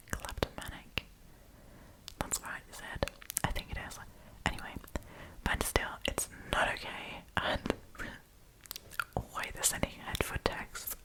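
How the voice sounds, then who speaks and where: Female ASMR storyteller, entirely whispered, informal and chatty, reading aloud with offhand commentary. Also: poor audio. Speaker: host reading and reacting to online stories.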